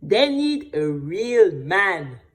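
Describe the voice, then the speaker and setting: A woman's voice: on the low side, very animated, very slow, full character voice. A woman playing a pompous, meddling male neighbour in an audio drama, preachy and judgemental. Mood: disapproving.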